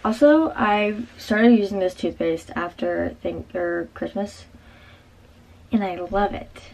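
A woman's voice: mid-range voice, slow, creaky, darkish tone, slight background noise, low-quality recording. A young woman with a stuffy nose, chatting casually to camera in her apartment, with lots of fillers. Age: young adult. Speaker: vlogger. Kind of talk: casual vlog chat. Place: small apartment, indoors.

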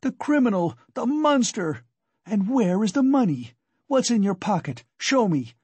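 Male narrator as frantic poor wife, high raised voice, accusing exclamations, despairing, audiobook drama. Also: big pitch swings, unhurried, darkish tone, husky, no room sound, heavily acted. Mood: furious, accusing. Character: frenzied, despairing, impoverished wife and mother. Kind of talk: dramatic audiobook character acting.

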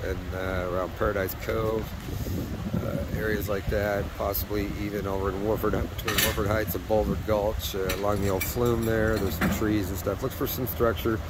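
A man hosting a casual fishing report outdoors by a river, talking in an easygoing, rambling way with plenty of fillers. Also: lowish voice. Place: outdoors by a river on a windy day. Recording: windy outdoor camera audio with engine noise. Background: wind, rushing water and a running truck.

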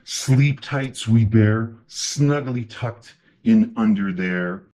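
A man's voice: on the low side, drawn-out words, mellow, low-quality recording. A man voicing a stern but loving papa bear in a storybook read-aloud, delivering firm, rhyming lines. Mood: gentle.

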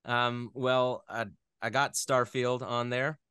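A man's voice: mid-range voice, flat delivery, takes it slowly, pushed and bright, smooth, dry recording, studio quality. A man being interviewed in a casual chat, speaking in a rambling, hesitant way with geeky enthusiasm. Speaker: interview guest, voice actor.

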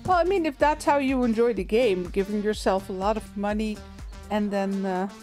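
A woman streamer with a gentle, soothing voice chats loosely with her viewers as she plays. She talks at an easy pace, with lots of fillers and small laughs. Faint game music plays underneath. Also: mid-range voice, normal speed. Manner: relaxed, chatty, frequent little laughs and fillers. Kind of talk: casual gameplay commentary, chatting with viewers.

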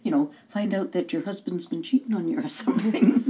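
A woman's voice: medium pitch, animated, mellow, a little hiss or hum, natural voice. An older actress playing a gushing, theatrical palm reader in a film, who draws her words out dramatically. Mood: knowing, teasing. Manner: dramatic, calls people darling, drawn-out delivery. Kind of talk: film acting.

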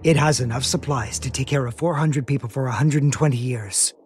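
An actor playing a solemn, soft-spoken mage burdened by regret, with formal, measured speech in an animated game cutscene. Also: male voice, medium pitch, slight background noise, natural voice. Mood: grave, explaining. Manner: formal, measured, articulate wording. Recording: dramatic music under the voice.

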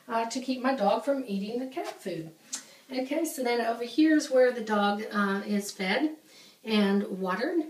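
A woman giving a casual home tour of her laundry room, talking while filming with a handheld camera, with many ums and restarts. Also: on the high side. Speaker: home organizing vlogger. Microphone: handheld camera, off screen. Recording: echoey small tiled room.

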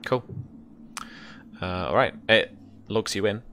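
A young man casually narrating as game master and explaining rules, in a hesitant, halting way, on a video call. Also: lowish voice, bright, forceful tone. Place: indoor room at home, over video call. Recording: faint background noise.

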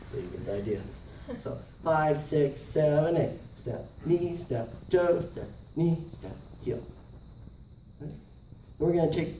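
A man's voice: medium pitch, mellow. Distant, roomy middle-aged male dance instructor. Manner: instructional, short phrases with counted beats. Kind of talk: dance lesson demonstration with step counting. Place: large carpeted indoor multipurpose room. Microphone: camera across the room. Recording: roomy, distant camera mic, faint noise.

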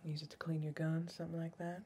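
A young woman playing an irritated, weary backwoods criminal in a whispered, soft-spoken ASMR roleplay, muttering at a clumsy partner. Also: low-pitched, flat delivery, fast, slight background noise. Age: young adult. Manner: exasperated, muttering.

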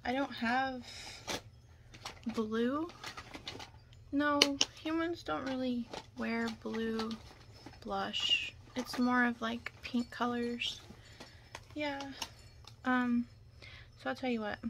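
A young woman doing an ASMR roleplay in whispers and soft talk, chatting casually and reassuringly close to the mic. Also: fairly high pitch, steady tone, very slow, long pauses, noisy background. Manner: casual, chatty, reassuring makeup artist patter.

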